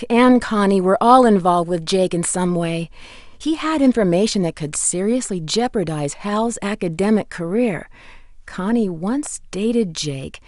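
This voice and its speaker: A woman's voice: mid-range voice, no room sound, clean studio sound, natural voice. An actress playing an earnest, inquisitive teenage girl detective in a video game, with a direct manner. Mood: pondering. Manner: direct, questioning. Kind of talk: scripted game dialogue.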